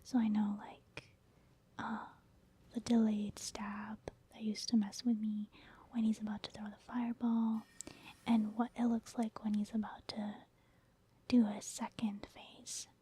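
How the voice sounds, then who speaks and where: A woman giving soft-spoken ASMR game commentary in a hushed, breathy near-whisper, explaining things gently and casually. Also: a little high, little pitch movement, a little slow. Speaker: ASMR gaming video host.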